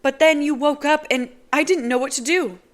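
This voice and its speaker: A lady doing a high, panicky young woman, apologizing over and over in an audiobook. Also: moderate pace, close to an everyday voice. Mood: distraught. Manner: pleading, breathless apologies. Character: frightened remorseful young woman.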